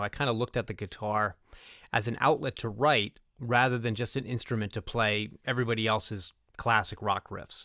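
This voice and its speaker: A man's voice: mid-range voice, fairly bright tone, no room sound, studio quality. A middle-aged man answering interview questions in a conversational, talkative, enthusiastic way. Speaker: interview guest, music composer.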